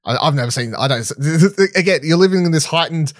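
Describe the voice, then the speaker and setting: A man's voice: a little high, animated, fairly quick, no room sound, studio quality. A man co-hosting a podcast, giving casual, unscripted film opinions in a rambling, conversational way with some swearing. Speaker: podcast co-host reviewing films and series.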